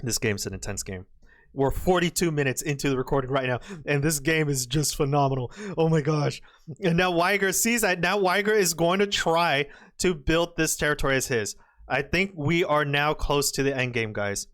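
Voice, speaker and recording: A slightly hoarse man commentating on a go match, exclaiming and trailing off as the game turns. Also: a little high, moderate pace. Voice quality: excitable, exclamatory, trails off and restarts. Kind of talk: excitable live game commentary.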